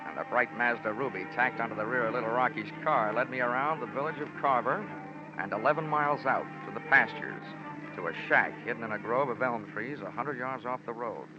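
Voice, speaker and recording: A man's voice: medium pitch, brisk, lightly acted. An actor playing a wry, hard-boiled American insurance investigator, narrating his case with dry sarcasm in a 1940s radio drama. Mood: wry, brisk. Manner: sardonic, dry, wordy. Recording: muffled old radio recording with music.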